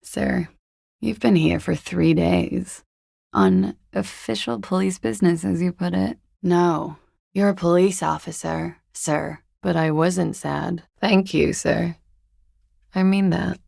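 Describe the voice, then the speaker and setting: A woman's voice: lowish voice, takes it slowly, vocal fry, a little mellow, no echo, heavily acted. An actress playing a cool, sardonic, world-weary young woman in a video game, speaking with languid, dry politeness in an intimate voice. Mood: dry, polite. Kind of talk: video game character dialogue.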